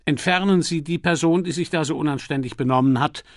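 A man voicing a stern, easily indignant male coroner in an audiobook, brisk and commanding as he questions. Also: fairly high pitch, no room sound, studio quality, lightly acted. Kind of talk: audiobook character dialogue.

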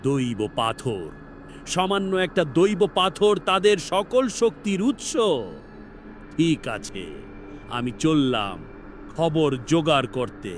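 A man's voice: fairly high pitch, animated, slow, pauses often, a little hiss or hum. A man voicing a boastful, swaggering demon henchman in a horror fairy-tale cartoon. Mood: smug. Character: boastful male demon henchman, eager to please. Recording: music under the voice.

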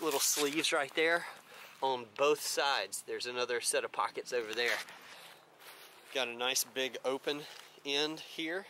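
A man's voice: mid-range voice, pushed and bright, a little hiss or hum. An American man around forty giving a casual gear review in vlog style. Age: 30s to 40s.

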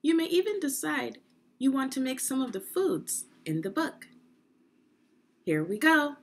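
A middle-aged woman with a slightly husky voice, giving a deliberate, instructive read-aloud lesson for young children. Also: high voice, very animated. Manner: deliberate, instructive, with pauses and questions. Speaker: education consultant reading aloud to children.

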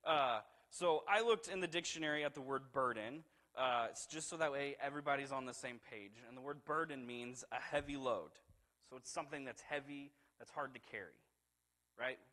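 A man in his thirties preaching a sermon, telling a personal story in a conversational, animated way and often asking the congregation "right?", while pacing the stage of a church sanctuary. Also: mid-range voice, normal speed. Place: church sanctuary, large hall. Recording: hall reverb in a church sanctuary.

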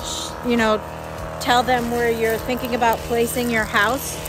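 A woman's voice: a little high, level tone, measured pace, background noise. A middle-aged woman chatting casually to camera as a vlog host, outdoors on a handheld phone. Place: outdoors in a pine clearing. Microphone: handheld phone at arm's length.

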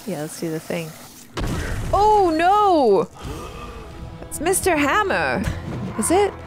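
A woman hosting a reaction video, chatting casually and gasping and commenting expressively. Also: high voice. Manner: expressive, quick to gasp and comment. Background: game audio and music.

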